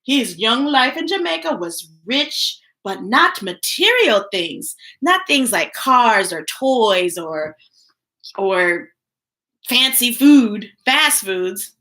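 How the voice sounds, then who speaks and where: Brief: American woman, storybook reader on a live stream, high bright voice, sings lines and chats. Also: big pitch swings, takes it slowly. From United States. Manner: sings the book text with playful scat sounds; chatty asides between readings. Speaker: children's book reader.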